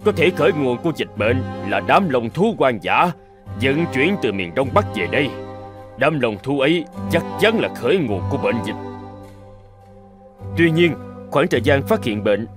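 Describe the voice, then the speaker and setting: A man's voice: mid-range voice, natural voice. A man voicing a figure in a tense cartoon medical drama, formal and emphatic in a dramatic dubbing style.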